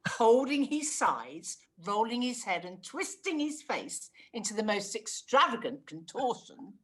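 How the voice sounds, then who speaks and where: A woman's voice: on the high side, sing-song, unhurried, fairly bright tone. A woman reading scripted story narration for a radio play.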